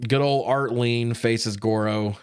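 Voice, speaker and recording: A man's voice: mid-range voice, fairly even intonation, takes it slowly, smooth. A man co-hosting a podcast, casually recapping a film in a sweary, jokey way. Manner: sweary, jokey, mimics characters' lines. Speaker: podcast co-host.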